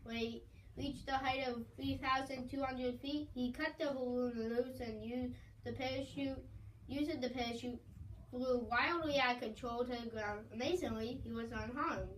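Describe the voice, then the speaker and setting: High-voiced young woman reporting mock news. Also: steady tone, moderate pace, far from the mic, a little hiss or hum, rough recording. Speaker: correspondent.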